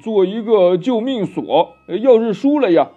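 A man's voice: high voice, expressive, normal speed, slightly rough, voice pushed up. A man voicing a cowardly, whining pig-man disciple who blubbers and hesitates, in a storybook telling. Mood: nervous bluster. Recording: dull lo-fi storytelling recording with music.

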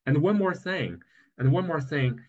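A man from China with a Chinese accent in a casual podcast discussion, speaking haltingly and repeating words as he searches for phrasing. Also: fairly high pitch, lively intonation, a little mellow, dull audio. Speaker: podcast co-host.

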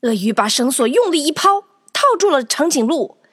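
A woman narrating a children's story in an expressive, animated scripted style, acting out the tale. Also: high voice, normal speed, slight background noise.

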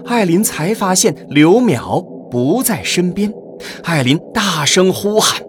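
A man's voice: high voice, expressive, takes it slowly. A man narrating a science-fiction radio drama in a dramatic, scripted style. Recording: clear background noise and ambience.

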